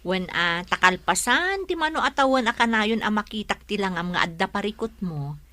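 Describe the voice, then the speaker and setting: A woman's voice: medium pitch, animated, moderate pace, bright, forceful tone, no room sound. A woman playing a frank, supportive best friend in a radio drama, chatty and emphatic. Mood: chatty, pleased. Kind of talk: Philippine radio drama acting.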